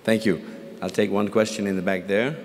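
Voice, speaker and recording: A male moderator with a lowish voice keeps things moving with short, polite prompts. He speaks from a podium in a large hall, with long reverb trailing his words. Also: little pitch movement, a little fast, slight background noise. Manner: brief, polite, businesslike. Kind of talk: moderating questions. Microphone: podium mic.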